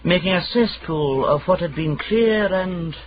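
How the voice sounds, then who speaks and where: Slow, declamatory poet narrator, muffled. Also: male voice, high-pitched. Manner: halting, self-correcting, declamatory. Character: wry verse-reciting poet narrator of old Edinburgh. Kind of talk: theatrical verse recitation in radio drama.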